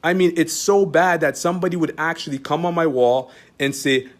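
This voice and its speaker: A man's voice: fairly high pitch, brisk. A man with a Canadian accent, an Islamic teacher talking straight to his followers in an emphatic, repetitive way, full of rhetorical questions. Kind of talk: direct-address monologue to followers.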